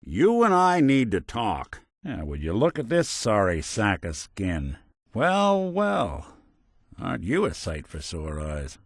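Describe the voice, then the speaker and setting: An actor playing a wry, world-weary, decent middle-aged robot private detective in a video game, in a streetwise American drawl with a hard-boiled noir style. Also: male voice, deep voice, big pitch swings, moderate pace, few pauses, slightly rough, no room sound, clean studio sound, exaggerated voice. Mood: wry, knowing.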